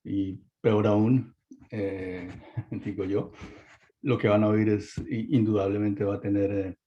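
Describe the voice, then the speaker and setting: A man presenting a webinar talk, halting with many fillers and restarts, over a video-call mic. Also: fairly low pitch, level tone. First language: Spanish. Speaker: webinar speaker. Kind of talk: hesitant presentation. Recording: dull lo-fi webinar audio.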